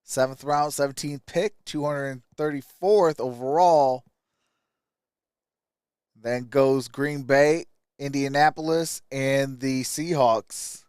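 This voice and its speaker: A man's voice: mid-range voice, fairly even intonation, takes it slowly, darkish tone, clear, resonant tone, no room sound, clean studio sound. A man hosting a live stream, giving casual draft commentary and reading out stats in clipped phrases.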